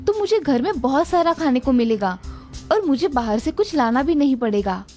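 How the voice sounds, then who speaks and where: A woman's voice: high voice, big pitch swings, moderate pace, dark tone, soft background music. A woman voicing a greedy, scheming old village mother-in-law in a cartoon story. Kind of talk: Hindi cartoon story voice acting.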